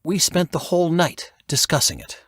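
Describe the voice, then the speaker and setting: A man's voice: on the high side, very animated, normal speed, a bit bright, husky, no echo, studio quality, voice pushed up, full character voice. A man voicing an irritable, stuffy, intense intelligence agent in an audiobook, with a sneering, emphatic manner. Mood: irritated, sneering.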